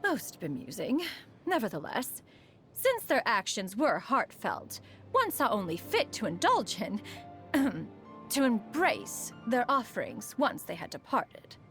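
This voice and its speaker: A woman's voice: a little high, huge pitch swings, measured pace, fairly bright tone, soft background music, heavily acted. A woman playing a proud, refined female immortal in a video game, speaking in a formal, stately manner, aloof yet fond beneath it. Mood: haughty, bemused. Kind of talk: scripted video game character monologue.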